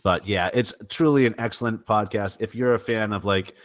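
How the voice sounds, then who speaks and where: A man hosting a live podcast chat, chatty and quick to laugh, with a stammer now and then. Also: lowish voice, slight background noise. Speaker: podcast host. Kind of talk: casual livestream chat.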